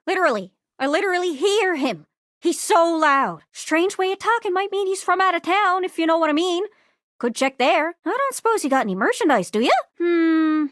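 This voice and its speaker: Living globe, very high voice, Newfoundland accent, folksy, giddy traveler at heart, video game, close dry sound. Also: female voice, lively intonation, moderate pace, a bit bright, no room sound. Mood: chatty, thoughtful. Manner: bubbly, folksy, exclamatory. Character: excitable, scatterbrained living globe longing to travel. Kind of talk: video game character acting.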